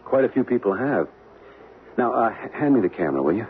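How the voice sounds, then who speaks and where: An actor playing an easygoing, wry husband with a camera in a radio drama, casual and affectionate in manner. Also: male voice, mid-range voice, big pitch swings, fast talker. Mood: relaxed, wry. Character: easygoing, wry American husband on vacation, keen photographer. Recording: tinny, noisy old radio recording.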